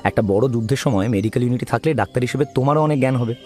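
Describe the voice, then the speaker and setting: A man's voice: mid-range voice, rapid-fire, darkish tone, faint music behind, lightly acted. A man playing a keen, adventurous young doctor in an audio drama, conversational and persuasive. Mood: enthusiastic. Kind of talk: dramatized audio story dialogue.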